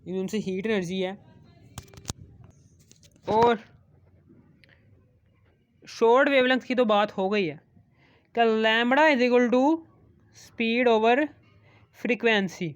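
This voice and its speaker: A man teaching a science lesson, repeating phrases and asking questions that he answers himself. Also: high-pitched. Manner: repetitive, asks questions and answers them, adds check-in tags. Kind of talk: explanatory school lesson, reading English textbook phrases and explaining them.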